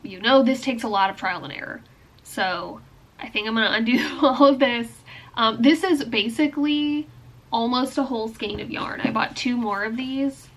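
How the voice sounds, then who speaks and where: A woman's voice: a little high, fairly bright tone. Chatty young woman with a cold. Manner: chatty, thinking aloud, frequent "like" and "um". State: has a bad cold. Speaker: crochet vlogger. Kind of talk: casual explaining while crafting.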